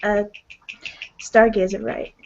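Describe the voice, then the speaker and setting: A woman playing a gentle, nurturing, somewhat hesitant werewolf mystic in a tabletop roleplaying game. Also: mid-range voice, flat delivery, unhurried, gravelly, muffled recording, slight background noise. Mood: friendly. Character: gentle, nurturing female werewolf mystic who offers comfort.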